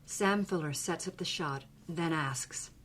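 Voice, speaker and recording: A woman's voice: low voice, unhurried, a bit bright, slight background noise. A woman co-hosting a podcast, telling a story in a conversational narrative style. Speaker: podcast co-host. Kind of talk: conversational storytelling with read-aloud passages.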